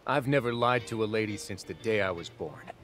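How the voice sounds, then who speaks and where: A man's voice: fairly low pitch, a little fast, fairly bright tone, a little hiss or hum, lightly acted. A man playing a cocky, flirtatious, showy rebel adventurer in a video game cutscene.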